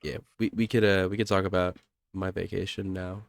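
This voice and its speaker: A man's voice: low-pitched, little pitch movement, a little fast, gravelly, no room sound, clean studio sound. A young man co-hosting a podcast, chatting casually with a stuffy, congested nose and rambling, self-correcting delivery. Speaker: podcast co-host.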